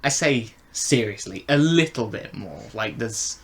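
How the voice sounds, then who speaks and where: A man's voice: on the low side, lively intonation, measured pace. A man co-hosting a casual review chat, hesitant as he thinks his opinions through aloud. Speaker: co-host of a review show.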